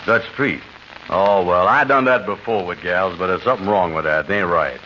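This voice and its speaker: An actor playing a slow, easygoing bachelor short on cash, drawling in a deep voice, on a vintage radio comedy. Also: male voice, bright, forceful tone. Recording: muffled old radio broadcast, faint hiss.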